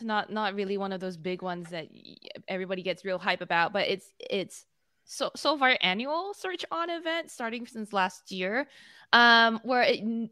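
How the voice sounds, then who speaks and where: A woman's voice: medium pitch, pushed and bright, clean tone, no room sound, studio quality. A young woman co-hosting a podcast, chatting casually about tech news in a bubbly way, speaking into a mic on a video call from home. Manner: chatty, bubbly, many fillers. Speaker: podcast co-host. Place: home room, indoors. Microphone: studio mic on a video call.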